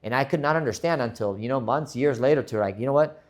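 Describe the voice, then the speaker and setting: A man's voice: mid-range voice, quick pace. A man from Spain, hosting a motivational podcast in an earnest monologue that often repeats words as he restarts. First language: Spanish. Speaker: podcast host.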